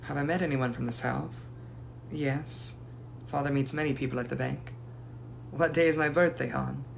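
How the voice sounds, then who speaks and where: A man's voice: medium pitch, fairly even intonation, moderate pace, creaky, a little hiss or hum, close to an everyday voice, low-quality recording. A man voicing the ghost of a young lady from the 1880s, speaking in a formal, old-fashioned and gracious manner. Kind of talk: improvised live roleplay answering viewers.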